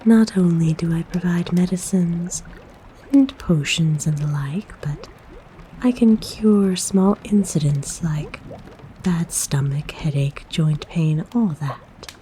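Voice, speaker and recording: A woman playing a kind, flirtatious forest witch in a soft, breathy, gently coaxing ASMR roleplay. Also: fairly low pitch, slow pace, a little hiss or hum. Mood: calm, confiding.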